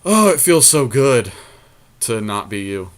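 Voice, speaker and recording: A man's voice: mid-range voice, big pitch swings, measured pace. A man co-hosting a podcast, giving casual, bantering commentary as he plays a card game with a partner, using gamer slang.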